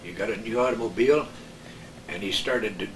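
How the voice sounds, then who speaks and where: An older man chats casually in a fairly low voice. He sounds far from the microphone, in a room with plenty of echo. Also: a little fast, a bit bright. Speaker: radio veteran as guest. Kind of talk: casual chat. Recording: distant mic, echoey room, background noise.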